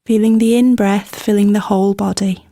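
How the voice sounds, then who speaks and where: A woman leading a guided meditation in a gentle, soothing manner, with scripted instructions and unhurried pauses between phrases. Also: mid-range voice, fairly even intonation, no echo.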